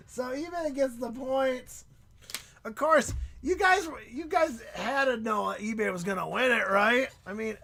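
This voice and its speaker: A man hosting a live stream in casual chat, excitable and prone to loud shouts and laughter, and losing his voice. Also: extremely high pitch. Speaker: livestream host.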